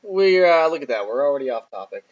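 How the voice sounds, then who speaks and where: A man's voice: big pitch swings, quick pace. A young man hosting a film podcast, chatting casually with a nasal voice and a self-deprecating, rambling way of talking. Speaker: podcast host. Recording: muffled low-quality recording.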